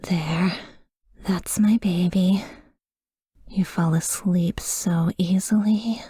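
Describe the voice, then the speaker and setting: A woman playing a devoted, wistful immortal vampire lover in a hushed, intimate, slowly whispered ASMR roleplay monologue. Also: lowish voice, steady tone, husky, no room sound, clean studio sound, heavily acted. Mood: tender, wistful.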